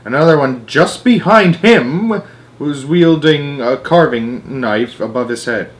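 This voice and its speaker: A man narrating a children's storybook aloud in an animated read-aloud style. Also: mid-range voice, takes it slowly.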